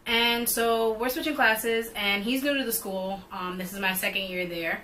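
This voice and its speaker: A woman's voice: medium pitch, normal speed, slight background noise, low-quality recording. A young woman co-hosting a couple's vlog, telling a storytime casually and animatedly, with lots of "like". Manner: animated, heavy use of "like", rising excitement. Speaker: vlog co-host.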